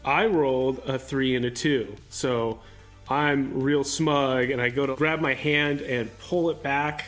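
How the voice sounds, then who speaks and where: A man's voice: medium pitch, steady tone, slow, fairly bright tone, faint music behind. A man playing a tabletop roleplaying game, casually describing what his character does.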